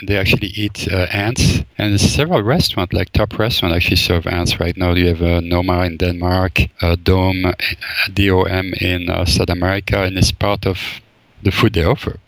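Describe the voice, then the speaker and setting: A man's voice: sits low, fairly even intonation, normal speed, vocal fry, no room sound. A man from Belgium, a forager and chef, answering interview questions thoughtfully, with many fillers and restarts. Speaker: forager and wild food chef, guest.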